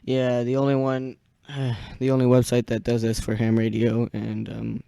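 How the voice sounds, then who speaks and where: Young male radio net host, mellow but smooth, halting casual delivery, dry close sound. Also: medium pitch, completely flat delivery, no room sound. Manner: halting, searching for words. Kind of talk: casual radio check-in chat. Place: small indoor radio room.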